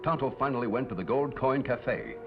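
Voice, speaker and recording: A man's voice: mid-range voice, animated, fairly quick, vocal fry. A man giving scripted narration for a 1950s television western. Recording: dull old TV soundtrack under music.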